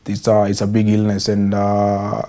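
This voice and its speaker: Kenyan goalkeeper guest, young man, stumbles and restarts a lot, mellow tone. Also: fairly low pitch, monotone, moderate pace. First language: Swahili. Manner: hesitant, many repeated words and restarts. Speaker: professional goalkeeper, interview guest. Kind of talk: TV interview answers.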